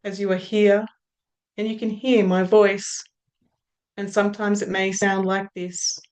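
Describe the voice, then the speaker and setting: Australian woman hypnotist, unhurried, soft trailing phrases. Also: medium pitch, level tone. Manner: slow, soothing, drawn-out phrases that trail off softly. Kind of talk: guided hypnosis induction.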